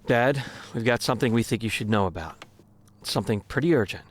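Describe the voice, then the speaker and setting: A man's voice: medium pitch, lively intonation, brisk, natural voice. An actor playing a frustrated young man standing up to his father, defiant and exasperated.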